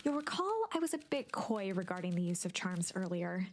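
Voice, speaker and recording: A woman playing a formal, measured storybook narrator who addresses the reader with a coy, theatrical air. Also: lowish voice, big pitch swings. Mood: coy, dramatic. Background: guitar music.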